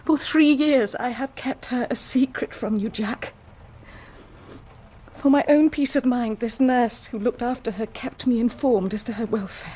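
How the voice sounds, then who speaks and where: An actress playing an anguished, dignified married woman confessing a secret, speaking formally and with deliberate passion in a period radio drama. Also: on the high side, mellow, breathy edge. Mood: anguished confession. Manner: formal, deliberate, impassioned, declamatory.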